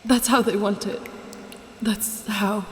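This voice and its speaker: A woman playing a haunted, dying burned woman in a surreal audio drama, pleading in halting gasps. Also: medium pitch, fairly even intonation, lightly acted. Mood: strained whispering. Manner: halting, gasping, pleading delivery. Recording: cavernous reverb with background noise.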